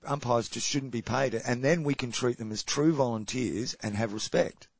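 A man's voice: mid-range voice, moderate pace, vocal fry, husky, no echo, highs cut off. An Australian man co-hosting a casual podcast chat, blunt and sarcastic, often stumbling and restarting. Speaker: podcast co-host.

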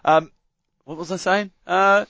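A man's voice: high-pitched, steady tone, a little slow, bright, forceful tone, dry recording. Jokey male podcast host. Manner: chatty, jokey, loses his thread. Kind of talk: casual podcast chat. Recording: dry, slightly dull sound.

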